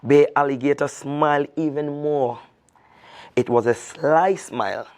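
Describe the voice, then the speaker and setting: Expressive male storyteller reading aloud. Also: on the high side, a little slow.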